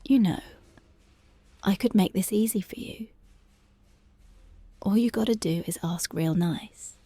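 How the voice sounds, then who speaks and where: A woman playing a strict, dominant female police officer in an ASMR roleplay, slow, taunting and intimate, often slipping into whispers. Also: mid-range voice, warm tone, husky, dry recording. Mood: teasing, coaxing.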